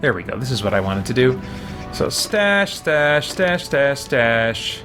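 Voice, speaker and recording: A man's voice: medium pitch, big pitch swings, unhurried, sharp tone, faint music behind. A middle-aged man doing casual gameplay commentary, thinking aloud in a hesitant, musing way. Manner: hesitant, musing, mutters to himself. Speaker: game streamer.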